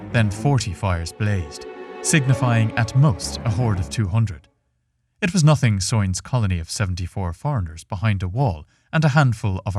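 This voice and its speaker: A man narrating a scripted fiction story in a measured, solemn and dramatic way. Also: on the low side. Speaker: narrator reading a fiction podcast.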